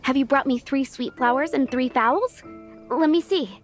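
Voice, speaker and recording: An actress playing an overworked, earnest young restaurant worker in a video game. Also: female voice, high-pitched, normal speed, faint music behind, lightly acted. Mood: businesslike.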